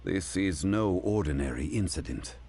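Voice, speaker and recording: A composed, scholarly adult man with a very low voice and a formal, deliberate delivery. Faint noise sits behind him in a game scene. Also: expressive, dark tone. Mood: grave. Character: calm, learned adult man, measured and grave.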